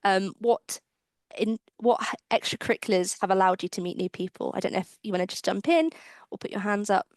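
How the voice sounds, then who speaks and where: A woman's voice: medium pitch, steady tone, a little fast, darkish tone, no echo, clean studio sound. A woman moderating a webinar panel, politely asking questions and thanking speakers. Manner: polite, encouraging.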